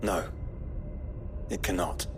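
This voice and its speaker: An actor playing a solemn, thoughtful noble adventurer in a fantasy game, speaking with formal, measured wording. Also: male voice, noisy background, lightly acted. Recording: loud music behind the voice.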